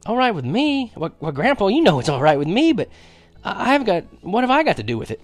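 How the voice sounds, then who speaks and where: A man's voice: high-pitched, huge pitch swings, fairly quick, no echo, soft background music, pitched above the performer's own voice. A man voicing an eager, wide-eyed young country boy in a storybook, with a breathless, hesitant, astonished manner. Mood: stunned, eager.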